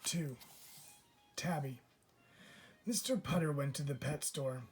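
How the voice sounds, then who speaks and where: A man's voice: on the high side, takes it slowly, faint music behind. Man reading a children's storybook aloud.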